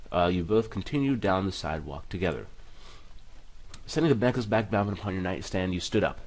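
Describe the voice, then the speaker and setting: A man's voice: sits low, darkish tone. A man hosting a let's play, casually reading game text aloud with some stumbles and commenting on it. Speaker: gaming video host.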